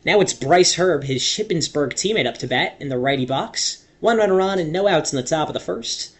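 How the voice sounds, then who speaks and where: High-pitched young male baseball announcer. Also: lively intonation, breathy edge. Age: young adult. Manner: dramatic, drawn-out calls on big plays. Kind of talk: radio sports commentary.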